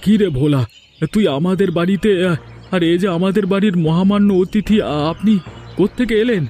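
Cartoon role: righteous village householder, male actor, highish voice, indignant and emphatic. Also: normal speed, dark tone, faint music behind, close to an everyday voice. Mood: outraged. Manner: scolding, emphatic. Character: indignant younger village householder, a husband, stern and scolding. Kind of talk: cartoon voice acting.

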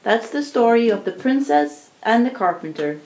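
A woman narrating a storybook tale live for a puppet show, in a deliberate, theatrical storytelling manner. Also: on the high side, lively intonation, a little mellow. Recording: roomy, distant amateur recording.

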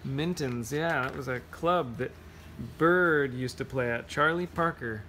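A picture book reader with a medium-pitched voice takes rhymes slowly in a playful singsong, adding scat sounds and chatty asides for children. It is a homemade phone recording with faint noise behind. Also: fairly bright tone. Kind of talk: read-aloud to children, singsong rhymes and casual explanations.

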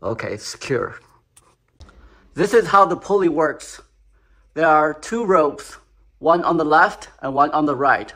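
Man, slightly high voice, unhurried how-to tutorial delivery, recorded in a garage. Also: expressive, pushed and bright. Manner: slow, methodical, explaining each step. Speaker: DIY instructor. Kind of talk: step-by-step how-to narration. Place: home garage.

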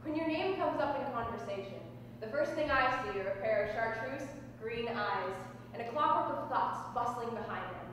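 A young woman performing spoken word poetry on a small stage, speaking deliberately and dramatically with pauses. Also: on the high side, expressive, a bit bright. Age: young adult. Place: small dark stage indoors. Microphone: distant camera microphone. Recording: echoey hall, distant mic, noisy room tone.

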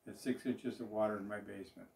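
A man's voice: lowish voice, steady tone, quick pace, gravelly, mellow. An older man, a business owner talking to the camera in a small office in a plain, rambling way, with fillers and restarts. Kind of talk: speaking to camera about his business. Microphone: far camera. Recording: roomy echo, voice from a distance.

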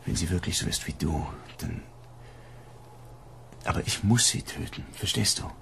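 A man acting a troubled, conflicted android hunter in a naturalistic radio drama, earnest and halting. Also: deep voice, lively intonation, gravelly, a bit bright, slight background noise, full character voice. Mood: torn, pleading.

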